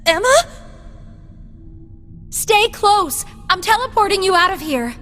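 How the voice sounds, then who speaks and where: A woman's voice: very high voice, very animated, slow pace, bright, forceful tone, full character voice. A woman playing a spirited, earnest young heroine in a dramatic fantasy video game. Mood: alarmed, desperate. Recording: long reverb, music behind.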